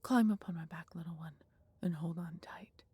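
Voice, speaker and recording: A woman voicing a gentle, wise dragon in an intimate audio roleplay, with a breathy, half-whispered voice and measured, formal phrasing. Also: on the low side, dry recording. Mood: resolute, caring. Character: gentle, wise female dragon, fond of her human. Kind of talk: slow, intimate roleplay acting addressed to listener.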